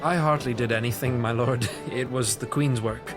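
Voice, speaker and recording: An actor playing a modest, deferential young knight in an audio drama, with a halting, polite delivery. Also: male voice, medium pitch, over music, natural voice. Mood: awkward, modest. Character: hesitant, deferential young knight, guarded and awkward.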